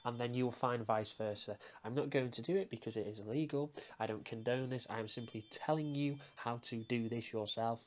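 A man's voice: mid-range voice, brisk, few pauses. A man giving a casual, informal how-to walkthrough, explaining each step and often repeating himself. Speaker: tutorial presenter.

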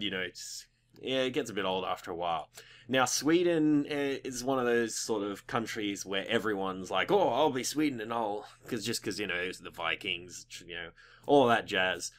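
A young adult man with a fairly low voice that has a slight croak to it. He hosts a gaming video in a casual, chatty way, rambling through his thoughts with loose, informal and occasionally sweary phrasing. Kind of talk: casual gameplay commentary.

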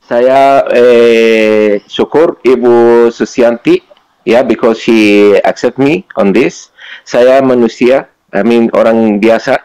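Man, non-native Indonesian, slow halting delivery with English mixed in, debating religion on an online call. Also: medium pitch, steady tone, darkish tone, smooth, rough recording. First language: not Indonesian, still learning it.

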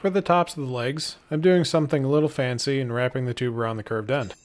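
A man's voice: medium pitch, fairly even intonation, fast talker. A man giving matter-of-fact, scripted how-to narration as a DIY builder.

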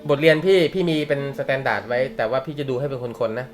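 A young male manga teacher talks to his students in a loose, chatty way, laughing often and dropping slang and the odd swear word. His voice sits at a middle pitch, and faint music plays behind him. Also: quick pace. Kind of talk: casual live class talk. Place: classroom or online class.

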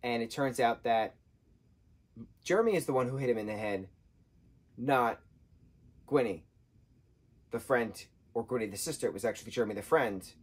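A man in his thirties, husky-voiced, giving an animated casual book review from a sofa indoors. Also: medium pitch, moderate pace, long pauses. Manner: animated, gestures a lot, acts out quotes in changing voices. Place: indoor room, sofa with patterned throw.

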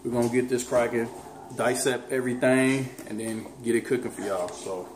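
A man's voice: medium pitch, steady tone, moderate pace. A man in his thirties casually showing how to cook dinner, teasing and talking straight to viewers. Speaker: fitness vlogger cooking with his daughter. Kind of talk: casual home cooking demo. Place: home kitchen, indoors. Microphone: camera mic on the counter. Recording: echoey kitchen with loud background noise.

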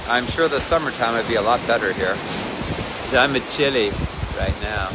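A man's voice: medium pitch, normal speed. Chatty man vlogging on a windy shore. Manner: chatty, wandering, self-mocking. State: cold. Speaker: vlogger and ministry leader. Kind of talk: casual rambling selfie vlog. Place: outdoors on a windy lakeshore with waves. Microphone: phone held at arm's length. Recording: wind and surf noise on phone audio. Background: waves and wind.

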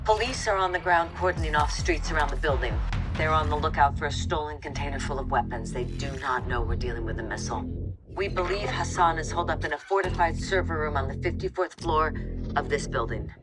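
A woman playing a composed, authoritative intelligence chief in a military game briefing, crisp and businesslike, with music over her voice. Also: sits low, a little fast, natural voice. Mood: focused briefing.

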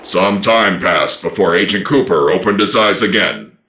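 A man narrating an old-time radio drama as a portentous, deliberate announcer. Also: low voice, lively intonation, roomy sound, background noise. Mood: dramatic. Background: orchestral score.

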